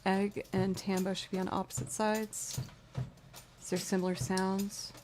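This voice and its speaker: A woman with a low alto voice, livestreaming as a musician and chatting casually while she builds loops, often thinking out loud. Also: level tone, unhurried, noisy background. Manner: chatty, talks aloud to herself.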